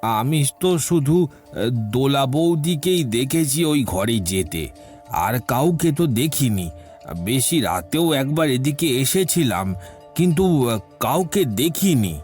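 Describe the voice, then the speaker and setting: A man's voice: mid-range voice, expressive, takes it slowly, darkish tone, soft background music. A man playing an anxious, deferential older household servant in an audio detective drama, pleading and stammering. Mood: hesitant, uneasy. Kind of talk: audio detective story acting.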